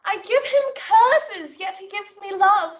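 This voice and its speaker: An earnest young woman in love, her voice very high. She speaks Shakespearean verse with measured, theatrical devotion. The audio drama is muffled and sounds far from the mic. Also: animated, slight background noise, full character voice.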